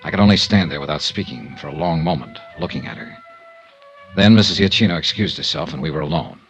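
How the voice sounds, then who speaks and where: A man's voice: rumbling low, lively intonation, fast, fairly bright tone, lo-fi sound, exaggerated voice. An American man playing a cool, courteous insurance investigator in 1950s noir radio drama, with a clipped, world-weary delivery. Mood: wistful. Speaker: lead actor and narrator. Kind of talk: 1950s noir radio drama acting and first-person narration. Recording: vintage radio recording with music.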